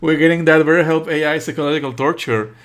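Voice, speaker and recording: A man giving live let's play commentary and reading game text aloud, exasperated and sarcastic, with animated outbursts and frequent swearing. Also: on the high side, fast. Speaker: streamer playing and commenting on a visual novel.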